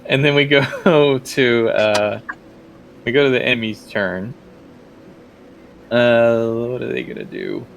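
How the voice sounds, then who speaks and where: A man's voice: mid-range voice, very animated, takes it slowly, vocal fry, pauses often, slight background noise. A man working as game master, narrating casually and improvising as he goes, often thinking aloud.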